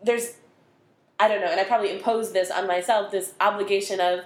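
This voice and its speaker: A woman's voice: mid-range voice, fairly even intonation, brisk. A woman from the American Midwest, hosting a casual chat in a thoughtful, self-deprecating way. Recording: roomy echo.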